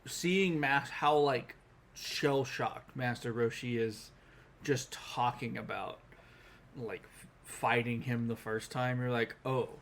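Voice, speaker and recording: A man's voice: medium pitch, takes it slowly, gravelly, a bit bright, a little hiss or hum. A man co-hosting a podcast in an informal, chatty style, acting out reactions as he talks. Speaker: podcast co-host. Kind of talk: casual chat about anime.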